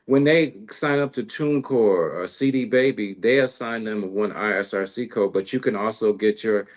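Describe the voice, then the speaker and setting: Male interview host, somewhat low voice, conversational and informal with relaxed slang. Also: normal speed. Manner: informal, slangy, brief affirmations. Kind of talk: casual interview chat.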